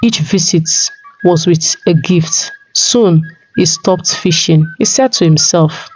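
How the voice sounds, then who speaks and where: A woman's voice: on the low side, slow, a little mellow, poor audio. A woman storyteller reading a scripted folktale narration. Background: music.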